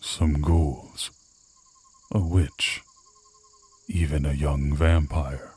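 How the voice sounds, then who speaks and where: Male actor as gruff werewolf scout, ASMR roleplay. Extremely low, very unhurried, menacing and taunting. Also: little pitch movement, dark tone, no room sound, full character voice. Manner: slow, threatening, taunting.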